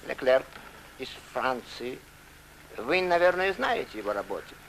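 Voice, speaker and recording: An actor playing a courteous, scheming German officer who talks politely and smoothly in a film. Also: male voice, medium pitch, sing-song, measured pace, fairly bright tone, slight background noise, full character voice, rough recording. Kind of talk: film acting.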